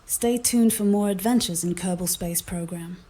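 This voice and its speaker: A woman's voice: on the low side, normal speed, mellow. A synthetic woman's voice speaking as an AI copilot, giving composed, formal, fully formed replies.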